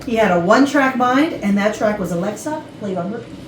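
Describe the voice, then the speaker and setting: A woman's voice: medium pitch, expressive, a little fast, over music, close to an everyday voice. A woman playing a snippy, guarded office employee in a staged comedy reading, with a pointed, disdainful manner. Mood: dry. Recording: distant mic, echoey noisy room.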